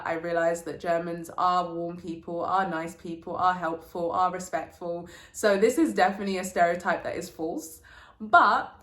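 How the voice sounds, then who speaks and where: Chatty young woman vlogger in an echoey room. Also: mid-range voice. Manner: chatty, informal, uses slang. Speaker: vlogger, channel host. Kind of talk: casual sit-down vlog chat, telling anecdotes. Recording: roomy, echoey indoor sound.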